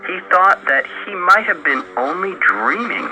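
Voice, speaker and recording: A man narrating a scripted literary tale in a measured storytelling manner for a radio broadcast. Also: high-pitched, big pitch swings, poor audio. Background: loud music.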